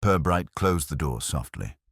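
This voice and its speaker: Deep husky guy reading a mystery story, dry close sound. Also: animated, fairly bright tone, no room sound. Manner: measured, storytelling. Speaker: narrator. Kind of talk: scripted mystery narration.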